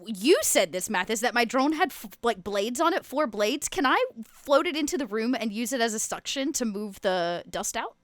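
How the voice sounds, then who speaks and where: High-voiced woman playing a tabletop game. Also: lively intonation, moderate pace, hardly any pauses, no echo. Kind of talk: casual improvised game talk.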